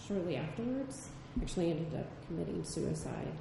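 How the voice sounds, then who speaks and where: A woman with a low, soft-spoken voice talks hesitantly, pausing on frequent ums. The room around her rings with strong echo. A steady hiss of background noise sits under her speech. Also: gravelly. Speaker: photojournalist on a panel. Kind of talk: panel discussion answer. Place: gallery event space. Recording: echoey room, far mic, background noise.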